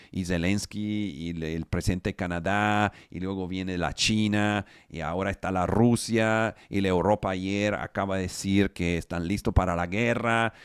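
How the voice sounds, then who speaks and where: A man preaching in Spanish, which is not his first language, over a video call, reading scripture slowly and often searching for his words. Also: lowish voice, dry recording, studio quality. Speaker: pastor.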